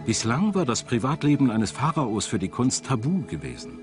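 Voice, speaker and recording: A man's voice: medium pitch, sing-song, normal speed. A man reading scripted narration for a documentary as a studio voice-over. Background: music.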